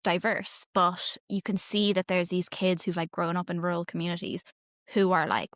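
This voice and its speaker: Young woman, chatty, rambling, slightly low voice, dry sound. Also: level tone, moderate pace, no echo. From Ireland. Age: young adult. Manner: chatty and rambling, heavy filler "like", laughs easily. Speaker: podcast interviewer. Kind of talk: casual podcast interview.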